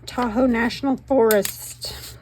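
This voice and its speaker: A middle-aged woman from northern Nevada, slightly hoarse, chatting and joking at herself while she crafts. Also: a little high, fairly even intonation, slow, noisy background. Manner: rambling, self-mocking, talks to viewers while working. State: slightly hoarse, losing her voice. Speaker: craft channel host.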